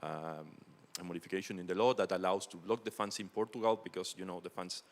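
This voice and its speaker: A man from Chile with a Chilean Spanish accent, asking a question from the audience in a halting, word-searching way. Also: deep voice, steady tone. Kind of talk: spontaneous question at a meetup. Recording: reverberant hall sound.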